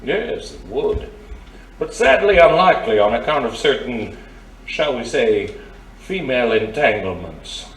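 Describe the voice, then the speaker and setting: An actor playing a wily, avuncular older crime boss in a noir radio drama, genteel, wry and insinuating. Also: male voice, medium pitch, big pitch swings, unhurried. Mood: guarded. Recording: roomy, distant from the mic.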